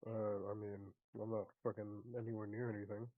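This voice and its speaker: A man's voice: on the low side, level tone, a little fast, dry recording. A man playing a tabletop game, chatting casually and a little defensively, with some swearing, over a narrow tinny line.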